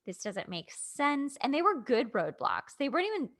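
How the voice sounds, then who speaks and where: A woman with a creaky voice chatting casually as a podcast guest or host, rambling and acting out bits of dialogue. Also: high-pitched, sing-song, moderate pace, a bit bright. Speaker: podcast host and aspiring coach. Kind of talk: casual chat.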